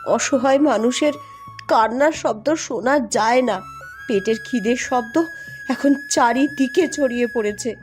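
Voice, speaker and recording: A woman voicing an earnest, determined young village schoolgirl in a cartoon drama, solemn and deliberate. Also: fairly high pitch, natural voice. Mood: resolute. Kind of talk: Bengali cartoon drama dubbing. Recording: music under the voice.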